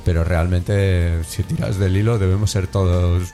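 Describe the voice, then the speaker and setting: A middle-aged man with a Cantabrian Spanish accent, hosting a casual radio chat in a relaxed, slangy way. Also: low-pitched, measured pace. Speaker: radio host. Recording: music playing under the voice.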